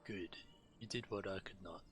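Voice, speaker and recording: A man's voice: low-pitched, moderate pace, faint music behind. A man streaming a game, casually commenting and reading quest text aloud.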